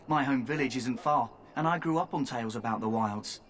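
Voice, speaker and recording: A man's voice: mid-range voice, a little fast, a bit bright, lightly acted. A man voicing a cheeky young thief recruit in video game dialogue, with a teasing manner. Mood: conspiratorial.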